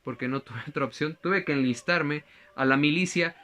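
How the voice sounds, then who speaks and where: A man's voice: on the high side, moderate pace, bright, forceful tone. A young man playing a French philosopher in a school presentation, chatty and joking, often correcting himself mid-sentence. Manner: rambling, self-correcting, joking asides. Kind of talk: costumed school presentation as a historical figure.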